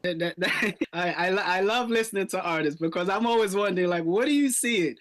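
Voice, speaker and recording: A man's voice: sits high, brisk, fairly bright tone. A man hosting a radio interview show, upbeat and friendly, often repeating words as he starts his questions.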